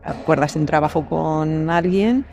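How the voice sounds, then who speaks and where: Woman, creaky voice, measured and reflective conversational delivery for a panel chat. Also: low voice, dark tone, faint music behind. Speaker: voice actor, podcast guest. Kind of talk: casual panel discussion.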